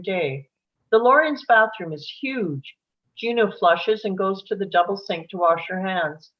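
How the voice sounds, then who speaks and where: Woman, medium pitch, scripted screenplay narration, stage-direction reader, slightly dull lo-fi microphone.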